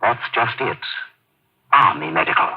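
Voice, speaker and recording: A frightened surgeon with a secret, in vintage radio style. The voice is rough and husky and swings widely in pitch, anxious and halting. The recording is dull and lo-fi. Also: male voice, animated. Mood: uneasy. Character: nervous, fearful male surgeon, secretly an enemy agent. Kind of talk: old-time radio drama acting. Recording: muffled old radio recording.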